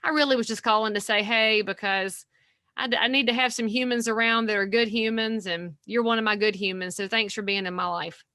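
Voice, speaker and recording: A woman talks in a bright, ringing, somewhat high voice. She speaks with folksy, energetic animation, and the sound is dry and close to the mic. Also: a little fast, dry recording. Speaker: guest realtor. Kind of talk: podcast interview.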